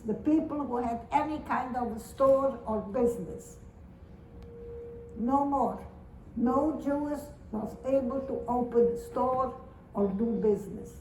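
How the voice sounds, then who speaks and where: A woman in her nineties, from Romania, gives testimony to an audience in a high, unhurried voice. She talks earnestly, repeating herself and restarting her sentences. The sound is roomy and distant, as if picked up from across a hall. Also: darkish tone, slight background noise. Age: 90s. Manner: earnest, slow and repetitive, with halting restarts. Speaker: Holocaust survivor giving testimony. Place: synagogue or community hall. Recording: roomy hall recording from far off.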